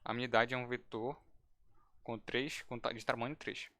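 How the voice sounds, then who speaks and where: A man's voice: mid-range voice, level tone, moderate pace, a bit bright, slight background noise. Casual man teaching coding. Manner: casual, thinking aloud with restarts. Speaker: instructor. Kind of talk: live-streamed coding lesson.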